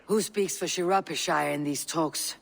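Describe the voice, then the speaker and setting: A woman playing a calm, stern Viking leader in a video game, speaking firmly and gravely as a peacemaker. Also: sits low. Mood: curious. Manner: firm, measured, grave. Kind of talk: video game character acting.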